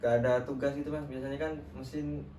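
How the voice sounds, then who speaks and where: A man's voice: mid-range voice, level tone, fairly quick, mellow. A young man acting as a trainee counselor, chatting casually and friendly with lots of fillers. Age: young adult. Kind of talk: role-play counseling session. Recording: roomy, distant mic with clear background noise.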